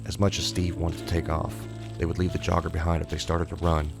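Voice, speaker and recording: Very deep male horror narrator. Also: completely flat delivery, brisk, creaky, over music. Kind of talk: scripted narration, suspense fiction.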